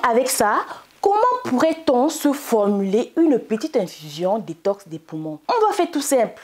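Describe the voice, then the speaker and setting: A woman's voice: fairly high pitch, darkish tone. A woman presenting an explanatory health lesson as a channel host, in a didactic, step-by-step way. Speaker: host of a medicinal plant channel.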